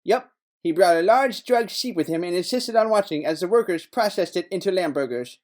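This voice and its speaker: A man's voice: sits high, animated, a little fast, a little mellow. An actor playing a male detective's partner in a noir audio drama, casual, sardonic and wisecracking. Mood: wry, matter-of-fact.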